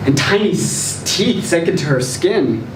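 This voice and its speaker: A man giving a dramatic live reading of a short story, deliberate and theatrical, with pauses for effect. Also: fairly high pitch, animated. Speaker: story reader at a live literary event. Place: live event in a social room, recorded from a distance. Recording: roomy distant live recording, clear background noise.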